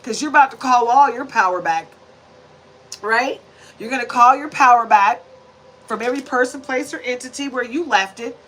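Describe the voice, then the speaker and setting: A woman's voice: on the high side, moderate pace, fairly bright tone, low-quality recording. A woman in her forties giving a chatty, preachy tarot reading to camera, handling the cards as she talks. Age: 40s. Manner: chatty and preachy, many tag questions; shuffles and lays out cards while talking. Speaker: tarot reader.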